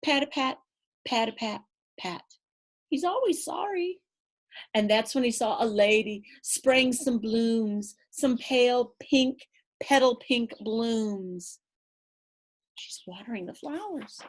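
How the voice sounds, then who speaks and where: A woman storyteller reading a picture book to children, playful and rhythmic, with chatty asides. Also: fairly high pitch. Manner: playful, drawn-out, rhythmic delivery. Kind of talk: animated picture-book read-aloud with asides to young listeners.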